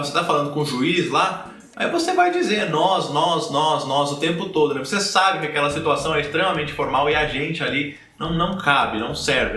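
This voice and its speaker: A man's voice: a little high, pushed and bright. Chatty Brazilian man teaching in an echoey room. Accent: Brazilian Portuguese. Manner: chatty, repeats words, checks in with tag questions. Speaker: language teacher. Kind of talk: casual explanatory lesson to learners.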